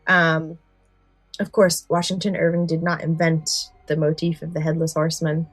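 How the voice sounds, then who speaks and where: A woman's voice: deep voice, flat delivery, moderate pace, slightly rough, no room sound, soft background music, low-quality recording. A woman co-hosting a podcast, explaining a favourite subject with enthusiasm, moving between prepared summary and casual discussion. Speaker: podcast co-host.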